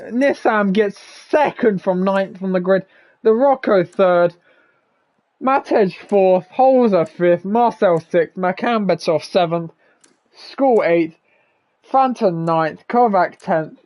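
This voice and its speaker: A teenage man with a hoarse, nearly lost voice, commentating a sim race and then hosting the stream in casual chat. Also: high-pitched, dull audio. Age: teens. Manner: shouts calls at the finish, then reads results and chats loosely with fillers.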